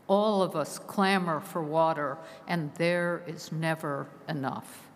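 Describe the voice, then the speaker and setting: A woman preaching a solemn sermon from a pulpit, measured and slow, her phrases sinking at the ends. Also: sits low, steady tone. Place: large stone church, from a pulpit. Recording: long church reverb heard from afar.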